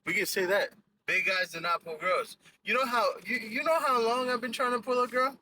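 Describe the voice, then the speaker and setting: A man's voice: very high voice, fairly quick, noisy background. A young man from Ecuador hosting a casual podcast from a car, joking and mixing English and Spanish. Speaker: podcast host.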